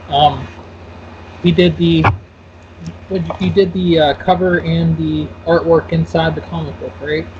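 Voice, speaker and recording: A man hosting a casual online interview, loose and rambling, with fillers and self-corrections. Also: fairly high pitch. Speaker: host and interviewer. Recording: dull lo-fi audio with faint noise.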